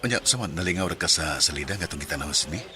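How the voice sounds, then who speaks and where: An actor playing an earnest, shy young male suitor, gentle and hesitant, in a Filipino radio drama. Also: extremely low pitch, expressive, racing. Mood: friendly.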